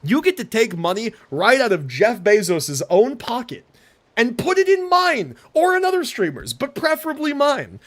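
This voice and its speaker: An American man chatting casually on a live game stream in an animated, slangy, joking way. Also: very high voice, normal speed.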